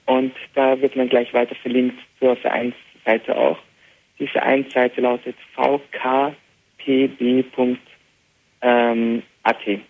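A young man, a native Arabic speaker with an Austrian German accent, answering a radio interview by phone in an easy manner with many filler pauses. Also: mid-range voice, little pitch movement. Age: young adult. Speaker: interview guest, band musician. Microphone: telephone. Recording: tinny phone line with faint hiss.

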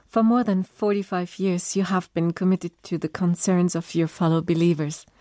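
A woman's voice: fairly low pitch, dark tone, dry recording, clean studio sound. A woman working as an interpreter, rendering speech aloud in hesitant, pieced-together phrases. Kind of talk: spoken consecutive interpreting.

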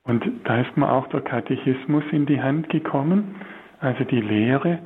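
A priest from Swabia, giving a spiritual talk in a slow, searching way with many hesitations. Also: male voice, medium pitch, slightly rough, narrow, tinny audio. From Swabia, Germany. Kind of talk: spiritual talk on a religious broadcast.

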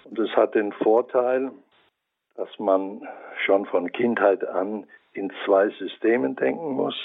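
A man with a Franconian German accent giving a measured, scholarly radio commentary, sometimes pausing to search for words. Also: lowish voice, creaky, narrow, tinny audio. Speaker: commentator on Bible translation. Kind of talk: reflective spoken radio essay.

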